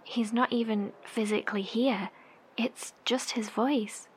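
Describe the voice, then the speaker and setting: An actress in an audio horror drama playing a worried, caring survivor broadcaster, hesitant, gentle and breathy. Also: female voice, a little high, steady tone, measured pace, slight background noise, natural voice. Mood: concerned.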